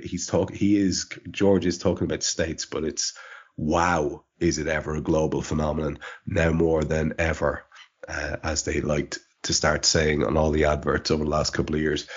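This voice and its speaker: A man hosting a podcast from home, congested and sniffling from allergies, talking in a wry, rambling way full of fillers. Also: deep voice. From Ireland. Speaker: podcast host. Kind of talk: casual chat. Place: home studio in rural Ireland. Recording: dull lo-fi podcast audio.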